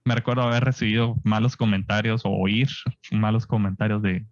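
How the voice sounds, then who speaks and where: Low-voiced Guatemalan man, chatty. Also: fairly quick, dry recording. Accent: Guatemalan Spanish. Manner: relaxed, chatty, frequent "¿va?" tags and restarts. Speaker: guest scientist. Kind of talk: casual storytelling interview. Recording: dull lo-fi dry podcast audio.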